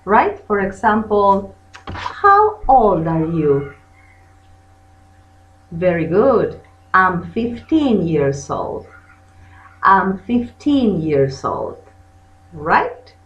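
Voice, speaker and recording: A native Spanish-speaking woman giving a bilingual language lesson as teacher and host, carefully drilling phrases by repetition. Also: on the high side. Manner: bilingual, switches between English and Spanish, has listeners repeat. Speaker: English teacher, channel host. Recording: muffled lo-fi sound in echoey room.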